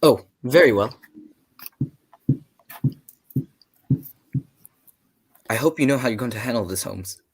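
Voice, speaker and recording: A man playing a hearty, cautious, avuncular English gentleman in a 1940s-style radio drama. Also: medium pitch, lively intonation, fast, husky. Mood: cautious, grumbling.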